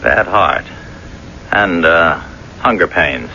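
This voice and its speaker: An actor playing an ailing, scheming 39-year-old writer in a 1970s radio drama, wry and hesitant, with insinuating turns. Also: male voice, deep voice, expressive, slow pace, pushed and bright, heavily acted. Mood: smug, insinuating. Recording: dull, noisy vintage radio recording.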